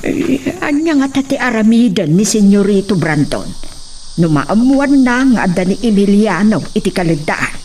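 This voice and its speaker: A woman's voice: medium pitch, very animated, normal speed, darkish tone. A woman with an Ilocano accent playing a nagging, inquisitive companion of a henchman in an audio drama, chiding and questioning. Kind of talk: radio-style Ilocano drama acting. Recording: distant mic, faint noise, crickets behind.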